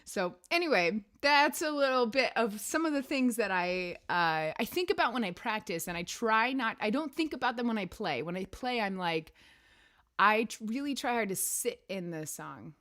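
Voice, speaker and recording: Woman host, singer, raspy today; loose rambling chat with lots of pitch movement, indoor room with piano. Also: lively intonation, normal speed, a bit bright. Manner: chatty, rambling, laughs often. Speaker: singer and weekly show host. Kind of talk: casual livestream chat.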